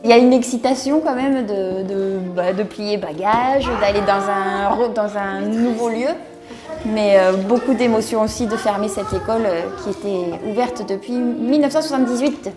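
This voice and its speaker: A woman's voice: mid-range voice, normal speed. A woman talking spontaneously in an interview, hesitating and repeating words. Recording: music behind the voice. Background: music.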